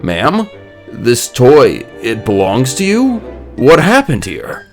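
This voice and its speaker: A man voicing an earnest, polite superhero in a measured comic-book dub style. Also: medium pitch, wildly swooping pitch, exaggerated voice. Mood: calm. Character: earnest adult male superhero and mild reporter. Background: music.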